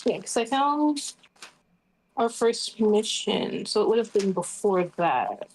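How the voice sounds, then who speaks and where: Woman, slightly high pitch, casual game-night chat, playful, chatty, tabletop player. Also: expressive, takes it slowly, a little mellow, husky, rough recording.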